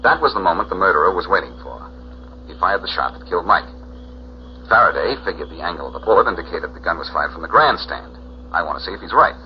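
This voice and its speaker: A man's voice: sits low, lively intonation, fast talker. A male actor playing a cocky, wisecracking amateur sleuth with a glib, teasing manner in 1940s radio detective style. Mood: brisk, explaining. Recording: dull, noisy 1940s radio recording.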